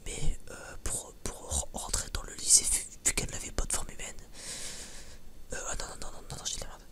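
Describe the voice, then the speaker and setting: A man whispering breathily in a casual, unscripted ASMR style, rambling hesitantly with many false starts. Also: fairly quick. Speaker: ASMR video creator.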